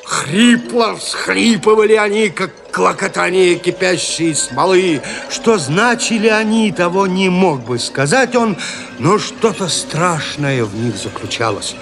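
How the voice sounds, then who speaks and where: A male storyteller of gothic horror in an audio play. His voice sits fairly high and moves expressively through the sentences, theatrical and full of suspense. Soft music plays behind him. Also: expressive, a little slow, creaky, heavily acted. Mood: suspenseful. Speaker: narrator. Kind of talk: dramatic scripted narration of a gothic horror tale.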